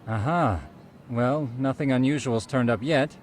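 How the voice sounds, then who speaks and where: A man's voice: mid-range voice, a little slow, slight background noise, natural voice. A man playing a polite, eager, nerdy tech expert in a video game, speaking precisely and formally. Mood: pleased, chatty. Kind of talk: video game cutscene dialogue.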